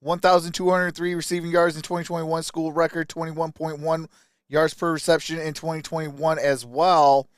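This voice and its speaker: Guy streaming draft commentary, kinda high smooth voice, real dry close sound, chill and informal. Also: flat delivery, normal speed, dry recording. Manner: informal, addresses viewers as y'all. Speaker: live stream sports host.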